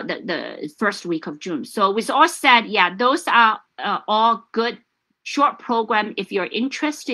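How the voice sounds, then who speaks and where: Bright-voiced woman, a native Chinese speaker. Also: lowish voice, low-quality recording. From China. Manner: chatty, many fillers and restarts. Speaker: host. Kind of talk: casual live-stream chat. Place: home room. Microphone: video call.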